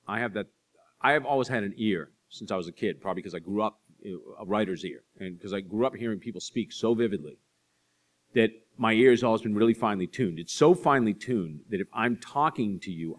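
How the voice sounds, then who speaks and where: A man in his forties telling animated, self-deprecating stories to an audience on a library stage. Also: lowish voice, a little fast. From Boston area. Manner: animated storyteller, acts out voices in anecdotes, self-deprecating. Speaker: author speaking to an audience. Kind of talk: storytelling talk and audience Q&A. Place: library stage in front of a curtain.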